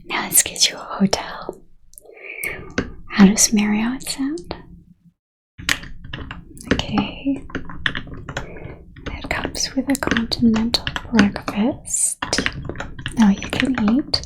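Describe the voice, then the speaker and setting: A woman playing a calm, courteous female ghost from the 1930s working as an airline gate agent in a soft-spoken ASMR role-play, with a breathy, mostly whispered, gentle delivery. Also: on the high side, rough recording. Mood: polite, attentive. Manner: gentle, courteous, unhurried customer-service delivery.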